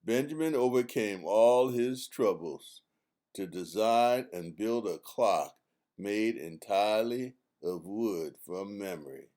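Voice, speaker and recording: A man reading his own children's book aloud as an expressive, emphatic storyteller. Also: lowish voice, slow.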